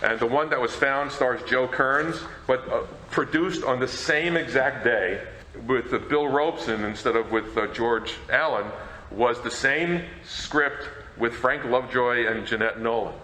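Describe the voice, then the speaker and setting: Male co-presenter, medium pitch, bright forceful tone, conversational explainer, roomy echo. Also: unhurried. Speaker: co-presenter, radio program collector. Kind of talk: informal talk, explanatory commentary. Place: indoor presentation room. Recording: echoey room, faint background noise.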